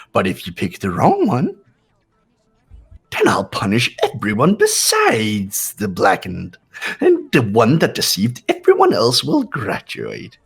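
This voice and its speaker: A gleeful sadistic game-show bear. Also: male voice, lowish voice, breathy edge, full character voice. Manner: mocking, sing-song, taunting. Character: gleeful sadistic bear headmaster running a deadly trial. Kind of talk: fan voice acting of a game.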